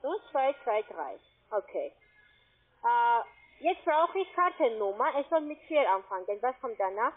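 A woman whose first language is not German, pitching an investment over the phone in halting, broken phrases. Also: very animated. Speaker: scam call center agent. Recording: tinny phone line.

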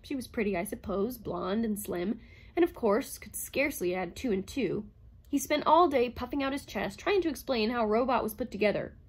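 A woman's voice: on the high side, expressive, normal speed, breathy edge. A woman voicing a bitter, lonely woman psychologist in her late thirties, speaking tensely and breathlessly. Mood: hard. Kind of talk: audiobook character reading.

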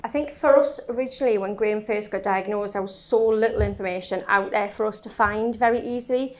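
A woman's voice: medium pitch, brisk. A woman who runs a support group, telling its story plainly on a panel with a handheld mic in a conference room. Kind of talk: panel discussion talk.

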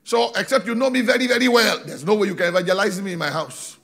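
A middle-aged man preaching on a large church stage into a handheld microphone, animated, slowing down for emphasis and shouting key points. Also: sits high. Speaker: pastor. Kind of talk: sermon. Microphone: handheld mic.

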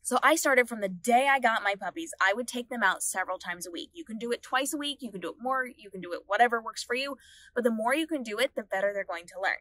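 A woman's voice: a little high, quick pace, dry recording, rough recording. A woman in her thirties giving earnest dog-training advice from the back seat of a parked car, filmed at arm's length. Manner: earnest, steady advice-giving with repeated phrasing. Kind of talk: instructional vlog talk. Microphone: phone or camera at arm's length.

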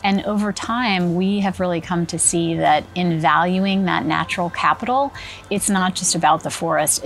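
Woman corporate interviewee, earnest and measured, lowish voice, soft music bed. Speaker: company sustainability spokesperson.

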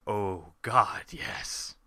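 A man voicing an adoring, breathless member of an audience in a fan story, slow and hushed. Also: on the low side, animated, dry recording, full character voice. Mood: eager, adoring.

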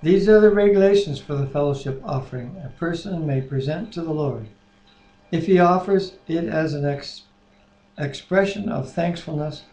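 Mellow older man, higher register, echoey room. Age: 60s or older. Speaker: Bible reader and discussion co-host. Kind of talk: reading scripture aloud, casual discussion. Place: living room at home. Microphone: computer webcam mic at desk distance. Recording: roomy, echoey home webcam.

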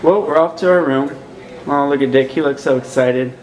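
A man's voice: on the high side, moderate pace, darkish tone, rough recording. A young man hosting a casual vlog, giving upbeat, joking narration into a handheld camera held close to his face. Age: young adult. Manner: upbeat, joking tour-guide talk. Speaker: vlog host. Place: van, parking lot, hotel.

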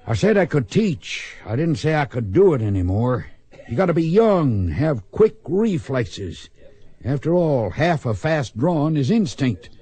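Mellow, folksy old gunfighter. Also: male voice, fairly high pitch, very animated, a little slow, breathy edge, full character voice. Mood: rueful. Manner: folksy, hesitant. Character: weary, grumbling old ex-gunfighter, good-hearted. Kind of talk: radio western acting.

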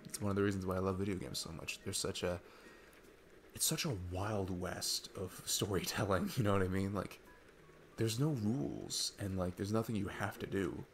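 A man's voice: sits low, steady tone, normal speed, a little hiss or hum. A man playing a sweet, earnest, geeky single dad on a date, rambling and hesitant in an intimate ASMR roleplay. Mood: excited, earnest.

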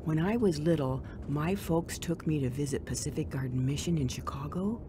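A woman playing a gentle, devout young divorced mother in a radio drama. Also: low-pitched, normal speed, noisy background, close to an everyday voice. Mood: gentle, encouraging.

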